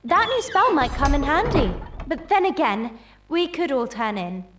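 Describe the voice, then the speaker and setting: A woman's voice: high voice, very animated, normal speed, noisy background. A woman playing an eager, inquisitive young schoolgirl witch in a video game cutscene. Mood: curious, keen. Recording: loud music behind game dialogue.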